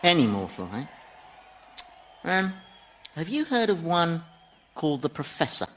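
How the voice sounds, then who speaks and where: An actor playing an exasperated, sardonic Satan in a comedy radio drama, grumbling and swearing in a British accent. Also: male voice, on the high side, very animated, measured pace, fairly bright tone, a little hiss or hum. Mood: dry, sardonic. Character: exasperated, sardonic Satan, weary ruler of hell.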